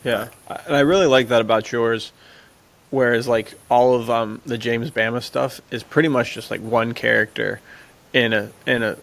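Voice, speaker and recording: A man's voice: mid-range voice, fairly even intonation, moderate pace, gravelly, fairly bright tone. A man hosting a casual podcast chat, speaking informally and enthusiastically with lots of slang. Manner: informal, enthusiastic, says dude often. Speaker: podcast host.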